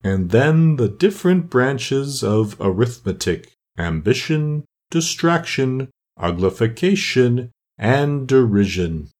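A man voicing a melancholy old Mock Turtle from a storybook, solemn and self-pitying, with slow, drawn-out speech. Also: medium pitch, expressive, a little mellow, voice pushed up. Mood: sighing, solemn. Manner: slow, drawn-out, mournful delivery with heavy pauses.

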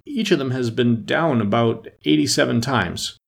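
Man doing a downtrodden storybook messenger, choked, mouth full, husky, creaky. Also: mid-range voice, animated, normal speed. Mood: choked, munching.

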